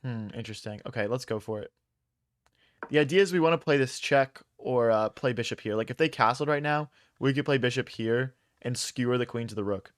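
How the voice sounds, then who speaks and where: A man's voice: medium pitch, dry recording, studio quality. Casual young man streaming chess. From Philadelphia, USA. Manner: thinks aloud, casual slang, quick reactions to chat. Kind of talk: casual live-stream commentary.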